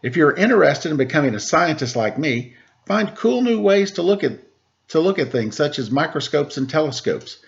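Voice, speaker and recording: A man, a teacher, reading a rhyming picture book aloud to children in a lively way and asking them questions. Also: fairly high pitch, moderate pace, gravelly, dull audio. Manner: lively rhyming delivery with rising questions to listeners. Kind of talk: storybook read-aloud to children.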